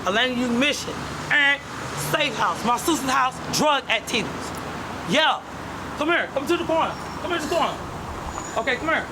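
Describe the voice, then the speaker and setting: A rough-spoken man speaking in street slang in a candid street interview outdoors. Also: very high-pitched, lively intonation, a little fast. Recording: loud traffic noise on the street.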